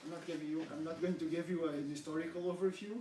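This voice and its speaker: A man's voice: a little high, steady tone, quick pace, mellow. A middle-aged man from Portugal with a Portuguese accent, giving an earnest academic seminar talk in English and asking the audience questions. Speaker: education researcher presenting. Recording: echoey room, from far off, faint hiss.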